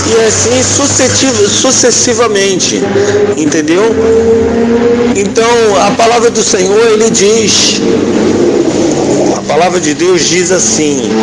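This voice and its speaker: Guy with a high voice explaining religion like a rabbi, halting, keeps checking you get it, noisy recording. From Israel. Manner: didactic, halting, often seeks agreement with tags. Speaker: rabbi, guest teacher. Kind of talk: informal religious teaching. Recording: clearly noisy, vehicle-like background sound.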